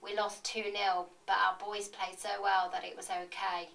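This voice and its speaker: A woman reading scripted confessions with an awkward, deadpan delivery. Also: medium pitch, normal speed, vocal fry. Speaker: reader of fans' confessions. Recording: echoey room, distant mic, faint noise.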